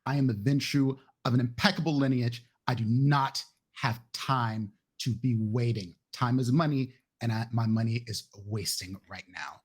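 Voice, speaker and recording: A man voicing an entitled, haughty vampire businessman in a tabletop game, pompous, loud and declamatory. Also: medium pitch, normal speed, breathy edge, lightly acted. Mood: haughty impatience.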